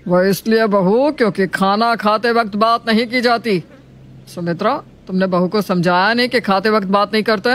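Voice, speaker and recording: Older father-in-law, strict and reprimanding, medium pitch, performed by a woman storyteller. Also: moderate pace, lightly acted. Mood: stern. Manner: stern, reprimanding.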